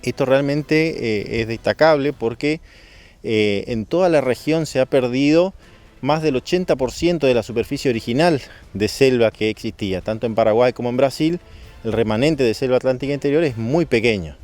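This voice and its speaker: A man's voice: medium pitch, normal speed, a little mellow, faint music behind. A man from Misiones with a northeastern Argentine accent, speaking as a government official in an explanatory, didactic interview style, with small questioning checks. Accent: northeastern Argentine Spanish.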